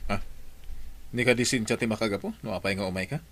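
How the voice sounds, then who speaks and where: A man's voice: on the low side, quick pace, fairly bright tone. A man voicing a commanding, impatient head of household in a radio drama. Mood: brisk.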